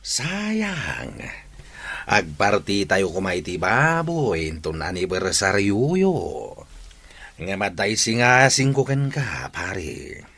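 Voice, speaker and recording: A man's voice: fairly low pitch, big pitch swings, slow, sharp tone, exaggerated voice. A man with an Ilocano accent playing a confident, conspiratorial village buddy who coaches his friend in a comedy radio drama. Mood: coaxing.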